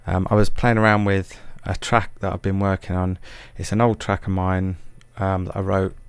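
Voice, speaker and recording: A man's voice: low-pitched, little pitch movement, moderate pace, smooth, no echo. A man narrating a music production tutorial in a casual, hesitant, self-deprecating way.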